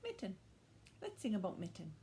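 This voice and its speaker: A woman's voice: medium pitch, wildly swooping pitch, brisk, dark tone, a little hiss or hum. A woman hosting storytime for young children, talking to them in simple questions and short phrases and reading aloud expressively. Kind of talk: children's storytime, talk and picture-book read-aloud.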